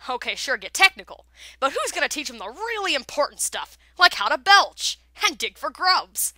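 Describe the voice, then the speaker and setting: A woman puts on an excitable little male cartoon meerkat. He is brash and exasperated, high and bright, with a chummy wisecracking patter. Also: dry recording, heavily acted. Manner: brash, fast-talking, exasperated.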